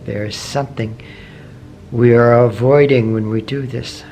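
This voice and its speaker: Slow, gravelly man guiding meditation. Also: mid-range voice, steady tone. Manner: gentle, measured, with long pauses between short phrases. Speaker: meditation teacher. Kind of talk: guided meditation. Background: soft meditation music.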